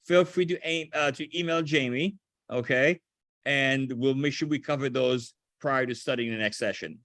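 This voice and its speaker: A man's voice: mid-range voice, moderate pace, fairly bright tone, dry recording. An older man from Haiti leading a ground school meeting on a video call, talking casually and often restarting his phrases.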